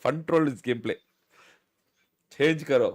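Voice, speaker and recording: A man chatting excitedly on a game livestream, exclaiming and repeating his phrases. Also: medium pitch, slow. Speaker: live streamer.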